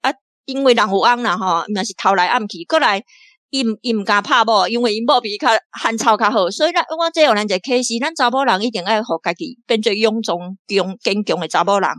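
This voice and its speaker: A woman from Taiwan, a guest reader, talking through a radio drama in a lively way, often laughing and restarting her phrases. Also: on the high side, fairly quick, no echo, clean studio sound. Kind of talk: casual on-air discussion.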